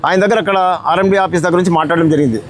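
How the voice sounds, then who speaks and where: A man's voice: on the high side, rapid-fire, a little hiss or hum. A man with a Rayalaseema Telugu accent making a direct, demanding protest statement as an activist. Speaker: activist speaker.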